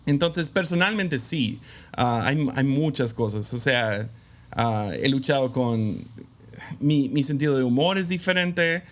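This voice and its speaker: Candid, hesitant man, mid pitch. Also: measured pace. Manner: reflective, candid, many hesitant fillers. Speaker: guest, pastor's son and preacher. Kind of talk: conversational interview.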